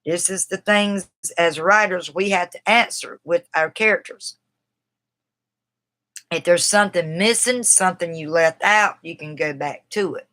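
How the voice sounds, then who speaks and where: A woman with a Southern drawl talks loosely and off the cuff, her voice bright and clear. She rambles a bit and breaks off to greet viewers by name in an easygoing livestream chat. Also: lowish voice, measured pace. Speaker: writer discussing a book on a livestream. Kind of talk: casual livestream talk. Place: outdoors.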